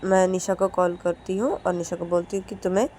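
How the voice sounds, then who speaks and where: A woman's voice: on the low side, steady tone, a little fast, mellow, slight background noise, natural voice. A woman voicing a middle-aged mother who scolds and teases her daughter, ending lines with prodding questions. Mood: scolding. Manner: nagging, tags questions with "haan?".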